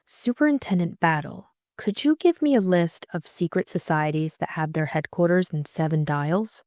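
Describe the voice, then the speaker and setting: A woman's voice: fairly low pitch, moderate pace, darkish tone, dry recording, clean studio sound, close to an everyday voice. A woman voicing a spirited young upper-class lady sleuth, polite but direct and probing, in an audiobook story. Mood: polite, persistent.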